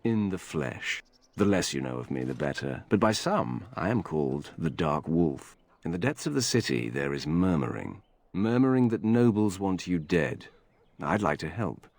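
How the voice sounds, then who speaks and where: An actor playing a wary, calculating vigilante informant in a video game, speaking in a measured, secretive, formal way. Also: male voice, sits low, sing-song, creaky, darkish tone, no room sound, exaggerated voice. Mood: guarded, conspiratorial.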